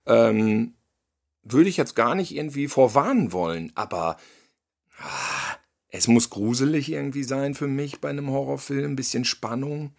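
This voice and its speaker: Lively German man reviewing a film. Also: mid-range voice, moderate pace, creaky. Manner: lively, animated, addresses listeners directly. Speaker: podcast co-host. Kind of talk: casual film review chat.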